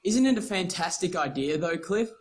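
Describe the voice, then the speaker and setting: High pitched aussie schoolkid, chatty n mischievous, old timey radio play. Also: male voice, lively intonation, moderate pace, a little hiss or hum. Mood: eager, chatty. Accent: Australian. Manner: chatty, teasing. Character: cheeky, talkative schoolboy, eager and mischievous. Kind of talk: nostalgic radio play acting.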